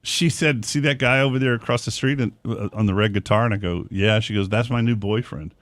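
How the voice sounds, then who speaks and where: A man's voice: fairly low pitch, fairly quick, no echo. Middle-aged radio man, dry close sound. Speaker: radio morning show host. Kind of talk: casual radio chat, telling personal stories. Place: small radio studio. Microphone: close studio microphone on boom arm.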